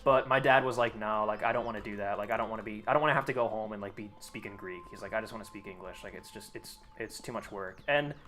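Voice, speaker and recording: A man's voice: fairly low pitch, fast, few pauses, a bit bright, faint music behind. A young American man streaming a game, chatting casually and rambling with lots of fillers and restarts. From United States. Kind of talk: casual chat while gaming.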